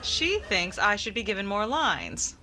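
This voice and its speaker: A woman's voice: medium pitch, big pitch swings, measured pace, pushed and bright. A woman playing a dry, teasing, wisecracking regular in an old-time radio comedy. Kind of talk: classic radio sitcom acting. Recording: very noisy recording with clicks.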